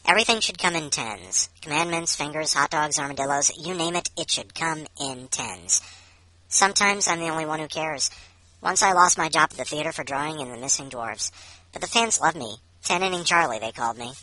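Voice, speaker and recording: Woman playing a low, deadpan, obsessive oddball ex-ballplayer. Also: a little fast, no room sound, full character voice, poor audio. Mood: fussy, deadpan. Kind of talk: dramatic short-story reading in character.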